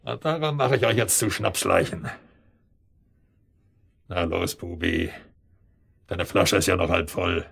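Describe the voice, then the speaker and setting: An actor playing a cold, domineering armed intruder in a radio drama, with a rough, gravelly voice. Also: male voice, low-pitched, measured pace, pauses often, fairly bright tone, full character voice. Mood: taunting. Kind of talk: German radio drama acting.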